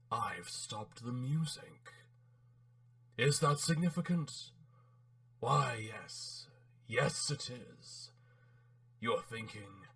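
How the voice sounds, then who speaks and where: Male robot tyrant, acted by a man in a comic dub: eloquent, theatrical, sharp-edged delivery, measured pace, sinister politeness. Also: on the low side, far from the mic, full character voice. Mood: softly sinister. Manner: theatrical, pompous, menacingly polite, deliberate. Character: menacing, eloquent male robot warlord and torturer. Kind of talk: dramatic reading of a comic book script.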